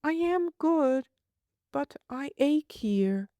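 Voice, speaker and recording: A woman's voice: high-pitched, lively intonation, extremely slow, warm tone, no echo, voice pushed up, heavily acted. A woman voicing a small, solemn, homesick little girl in a storybook, speaking in precise, imperious, formal phrases. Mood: homesick, plaintive.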